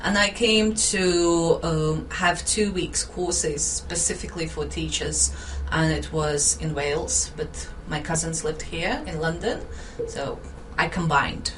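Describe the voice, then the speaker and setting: A middle-aged woman from Latvia with a Latvian accent, an interview guest speaking earnestly with halting phrasing and nervous laughs. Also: low voice, steady tone, far from the mic, background noise. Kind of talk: radio interview.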